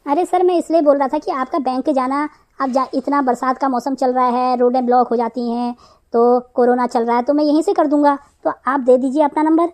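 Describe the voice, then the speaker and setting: A woman's voice: high-pitched, steady tone, a little fast, darkish tone, natural voice. A woman voicing a pushy male phone scammer who poses as an officious bank manager in a comic cartoon. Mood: insistent. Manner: persistent, wheedling, officious.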